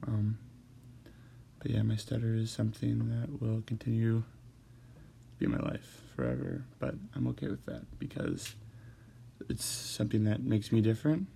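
A man who stutters, talking hesitantly with many pauses and fillers as he hosts a personal monologue. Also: fairly low pitch, creaky, warm tone, slight background noise. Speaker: host.

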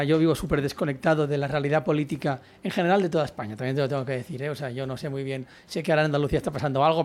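A man's voice: fairly high pitch, a little mellow. A young man from Catalonia with a Catalan accent, chatting as an interview guest, rambling with many restarts. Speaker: guest writer and journalist. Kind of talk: casual interview chat.

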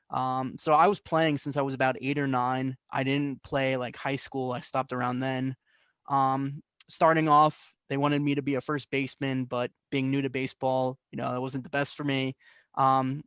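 A man's voice: medium pitch, a bit bright, clear, resonant tone, dry recording. A young adult man from the New York area telling stories as a guest in a casual interview, with many fillers and restarts. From New York and New Jersey, US. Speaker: guest artist.

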